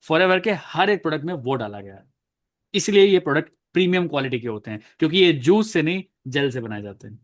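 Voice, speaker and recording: A man's voice: a little high, fast talker. A young man in his twenties who trains network marketers, playing a doubtful customer and then coaching viewers on how to answer them. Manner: animated, coaching the viewer directly. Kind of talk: role-play sales training.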